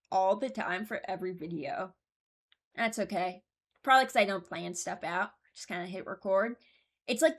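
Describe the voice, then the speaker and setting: A woman's voice: mid-range voice, fairly bright tone. A young woman giving casual, unscripted commentary as a video host, rambling and hesitant as she thinks aloud. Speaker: video host ranking game voice lines.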